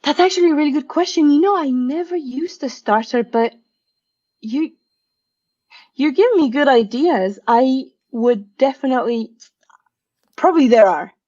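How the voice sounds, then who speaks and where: Venezuelan-born woman, conference talk; slightly high voice, dry lo-fi call audio, easygoing. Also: normal speed, pauses often, no room sound. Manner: laughs often, relaxed and chatty. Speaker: conference speaker. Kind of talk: tech talk on a video call.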